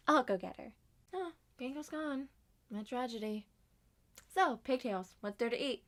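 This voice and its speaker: Woman, ASMR roleplay lead; slow, gentle delivery, higher voice, moves between whisper and soft speech. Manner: soft-spoken, drifts between whispers and normal voice. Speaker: ASMR roleplay creator voicing all characters. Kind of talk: multi-character ASMR roleplay.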